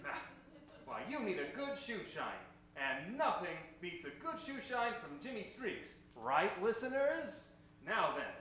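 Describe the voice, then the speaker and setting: A man's voice: high voice, sing-song, measured pace, bright, forceful tone, heavy reverb, a little hiss or hum, full character voice. A man playing a chirpy, pushy shoe-shine salesman in a parody old-time radio commercial, with folksy patter. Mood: salesy, upbeat.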